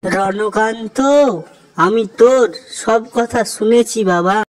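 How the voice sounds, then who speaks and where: A woman voicing a kindly, motherly water fairy in a cartoon, with a benevolent, unhurried manner. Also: medium pitch, fairly even intonation, close to an everyday voice.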